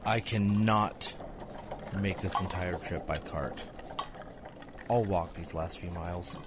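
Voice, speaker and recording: A man acts out a stubborn bodyguard in a fantasy audio drama. His voice is low and drags slowly, terse and grumbling, with clear ambient noise behind it. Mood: weary, stubborn. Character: stubborn, travel-sick bodyguard, a grown man.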